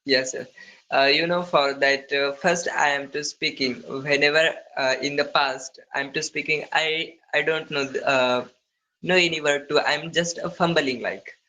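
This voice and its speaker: A man with an Indian accent practising conversational English, speaking haltingly in non-fluent phrases with broken grammar. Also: fairly high pitch, steady tone, pushed and bright, a little hiss or hum, poor audio. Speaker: English learner. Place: online video call.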